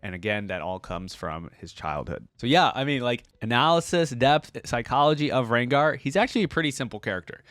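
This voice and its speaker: A man's voice: medium pitch, lively intonation, a little fast, few pauses, fairly bright tone, no echo, clean studio sound. A man talking casually to his viewers as a reaction host, in rambling, conversational unscripted commentary. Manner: rambling, conversational, frequent "like" and "right?".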